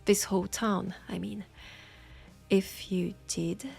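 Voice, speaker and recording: Casting: actress as teasing French-accented witch for intimate fantasy roleplay audio. Husky, gravelly edge, very slow delivery with dramatic pauses. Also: mid-range voice, flat delivery, a little mellow, soft background music, heavily acted. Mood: teasing, curious. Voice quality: husky, breathy with creak. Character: knowing, teasing, compassionate witch in a secluded werewolf town.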